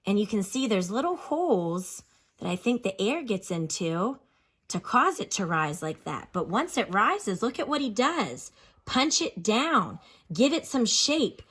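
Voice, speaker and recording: A woman teacher reading aloud to children and explaining as she goes, with expressive, instructional delivery. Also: medium pitch, unhurried, husky. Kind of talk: read-aloud lesson with explanations and questions to children.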